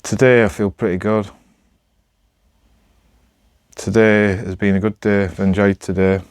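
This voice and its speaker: A man's voice: fairly low pitch, flat delivery, unhurried, clear, resonant tone, no room sound. A man with a Northern English accent chatting casually to camera, rambling in a hesitant, self-deprecating way. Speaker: amateur vlogger.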